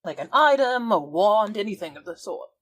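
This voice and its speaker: A woman's voice: mid-range voice, very animated, normal speed, no room sound. A woman improvising in-character conversation as a tabletop game player, polite and appreciative.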